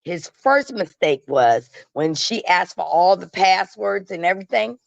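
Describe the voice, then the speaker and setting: A woman's voice: fairly low pitch, lively intonation, measured pace, gravelly, no echo. A woman giving casual gossip commentary as a guest, emphatic and matter-of-fact, with colloquial phrasing. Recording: dull, lo-fi call audio.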